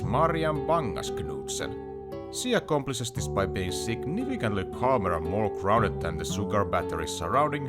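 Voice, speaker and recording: Man, scripted reviewer narration, lively swooping intonation, wry opinionated delivery, plucked guitar music behind. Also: fairly low pitch.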